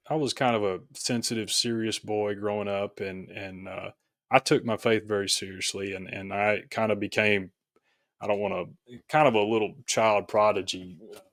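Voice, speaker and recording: A man telling his personal story reflectively as an interview guest, in a halting, thoughtful way, often correcting himself. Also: fairly low pitch, no room sound, studio quality. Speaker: podcast guest, author.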